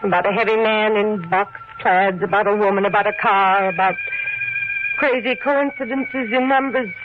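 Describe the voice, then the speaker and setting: An actress playing an anxious, earnest wife in a 1940s radio mystery, speaking in a measured, dramatic way. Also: female voice, medium pitch, fairly even intonation, natural voice. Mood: grave, accusing. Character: anxious, pleading wife who grows grave and accusing. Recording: dull old radio recording with organ music.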